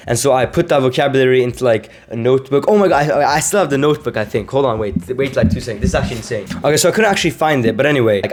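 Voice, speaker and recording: A young man from Sweden, a language-learning vlogger, talking casually to camera, rambling with many fillers and restarts. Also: medium pitch.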